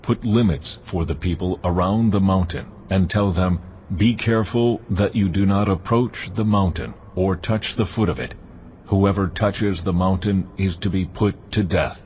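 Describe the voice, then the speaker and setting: God, deep and stern. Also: male voice, darkish tone, slight background noise. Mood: stern warning. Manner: solemn, authoritative, deliberate phrasing. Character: God, stern, majestic male deity issuing commands. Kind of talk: dramatized scripture acting. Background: music.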